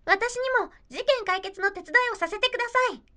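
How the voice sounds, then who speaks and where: A woman's voice: very high voice, lively intonation, a little fast, pushed and bright, exaggerated voice. A woman playing a cheerful, naive young princess who is proud of her brains, in a comedic audio drama. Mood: eager, proud. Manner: innocent, perky, oblivious.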